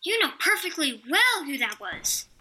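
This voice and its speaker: A woman's voice: high-pitched, sing-song, moderate pace, full character voice. A woman playing a defiant, distrustful young make-believe leader in a children's audio drama, speaking intensely. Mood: accusing. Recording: loud music under the voice.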